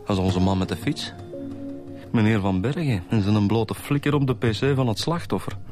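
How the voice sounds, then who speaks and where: An actor playing a wry, blunt Flemish detective with a colloquial manner in a radio drama. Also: male voice, lowish voice, moderate pace, music in the background, natural voice.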